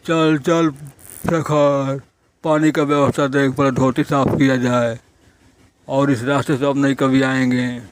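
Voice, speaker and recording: A man voicing a wary village priest in a cartoon, placating and bargaining with drawn-out words. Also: mid-range voice, little pitch movement, a little hiss or hum, natural voice. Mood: wary, weary. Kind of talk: Hindi cartoon story voice acting.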